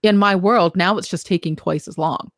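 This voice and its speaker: A woman's voice: fairly low pitch, normal speed, no echo, studio quality. A woman co-hosting a podcast in casual conversation, practical and questioning, with a lot of "you know.". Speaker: podcast co-host.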